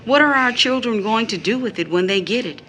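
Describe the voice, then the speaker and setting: A woman's voice: a little high, lively intonation, brisk, fairly bright tone, a little hiss or hum. A woman playing a bitter, disillusioned mother in an audio drama, emphatic and grave. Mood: weary, bitter. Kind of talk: audio drama stage-style acting.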